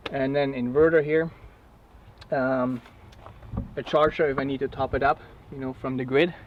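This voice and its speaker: A man from Germany with a German accent, English his second language, giving a casual halting tour of his tiny house with many fillers. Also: medium pitch, dull audio, a little hiss or hum. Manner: halting, many fillers and restarts, laughs often. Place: small wooden tiny house, indoors and just outside.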